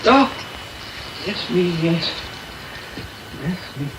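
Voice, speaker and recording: An actor playing a kindly, absent-minded elderly gentleman in a radio drama, stammering and dithering. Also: sits high, expressive, very slow, echoey room, distant mic, exaggerated voice. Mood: concerned, flustered. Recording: noisy medium-wave radio tape.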